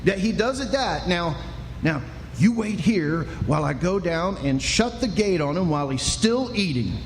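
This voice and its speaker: A man's voice: sits high, expressive, moderate pace. An American man playing a kindly, patient Old West sheriff in a radio drama, with a folksy, hesitant drawl. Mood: musing. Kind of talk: radio drama acting before an audience. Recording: echoey live hall, faint noise.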